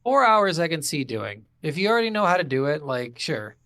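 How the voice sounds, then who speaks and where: A man with a fairly high voice co-hosts a gaming podcast in a casual, foul-mouthed way. He rants in disbelief and acts out the lines he quotes. The sound is close and dry. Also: very animated, brisk, sharp tone, no room sound. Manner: incredulous ranting, heavy swearing, acts out quoted lines. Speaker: video game podcast co-host. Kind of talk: casual, profane game review chat.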